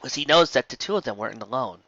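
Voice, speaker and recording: A man's voice: mid-range voice, animated, racing, pushed and bright, dry recording. A man giving a live storybook narration as the reader, on a dry, lo-fi recording. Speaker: narrator and reader of fan fiction.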